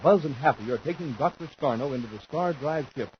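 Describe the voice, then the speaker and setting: A man announcing and narrating in emphatic, deliberate 1950s radio style, scripted and dramatic. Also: medium pitch, animated. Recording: dull 1950s radio recording, faint noise.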